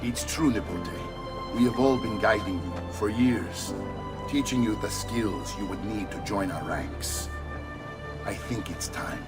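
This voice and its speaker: An actor playing a fatherly older assassin mentor in a video game, solemn and measured, with an Italian accent. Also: lowish voice, recorded from a distance, loud music behind the voice, lightly acted. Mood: solemn, revealing. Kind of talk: video game cutscene acting.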